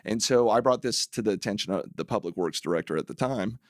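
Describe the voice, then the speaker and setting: A man's voice: on the low side, quick pace, no echo, clean studio sound. A man, a city council member, speaking as a podcast guest in a wry, analytical way with many fillers. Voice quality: gravelly. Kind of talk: casual interview chat.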